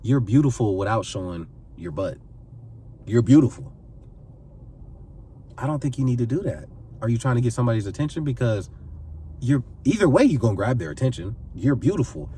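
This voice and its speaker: A man's voice: medium pitch, sing-song, brisk, long pauses, mellow, distant mic, a little hiss or hum. A man in his twenties giving earnest advice to camera, pleading and repeating phrases for emphasis. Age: 20s. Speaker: vlogger giving life advice.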